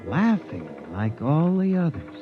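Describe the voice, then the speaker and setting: A man's voice: fairly high pitch, big pitch swings, unhurried, music in the background. An actor playing an anxious male statistician who narrates and grows frightened, in a 1950s radio drama recording. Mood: uneasy. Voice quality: earnest, increasingly panicked. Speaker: narrator and lead character. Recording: dull old 1950s radio broadcast.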